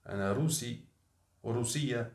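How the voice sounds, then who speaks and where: A man's voice: medium pitch, darkish tone. A man teaching a language lesson, talking unscripted and correcting himself often with many fillers. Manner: unscripted, halting with frequent fillers and self-corrections. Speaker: Arabic teacher. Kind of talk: language lesson, thinking aloud. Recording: echoey room.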